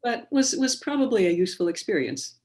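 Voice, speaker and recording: A Canadian woman poet talking over a video call, measured and thoughtful, with many pauses and restarts. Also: a little high, expressive, darkish tone. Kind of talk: online book launch conversation and poetry reading.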